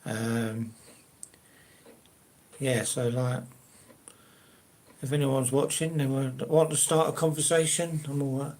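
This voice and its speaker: A man's voice: mid-range voice, fairly even intonation, takes it slowly, pauses often, darkish tone. An older man from South London with a Cockney-ish accent, chatting casually and hesitantly with viewers as the host of his own live stream. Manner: rambling, hesitant, many fillers and restarts.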